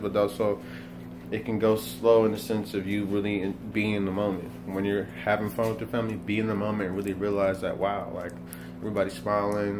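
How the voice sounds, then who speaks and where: A young man in his twenties gives an earnest motivational talk, circling back and repeating phrases as he thinks. His voice sits low and stays fairly flat. A clear layer of background noise runs under the recording. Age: 20s. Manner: earnest, rambling, many restarts and repetitions. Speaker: motivational vlogger. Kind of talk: casual motivational monologue.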